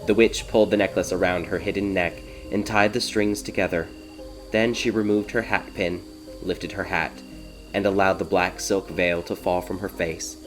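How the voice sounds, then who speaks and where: A man's voice: low voice, fairly even intonation, normal speed. A man reading scripted fairy tale narration as an audiobook storyteller. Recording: prominent music behind the narration. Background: music.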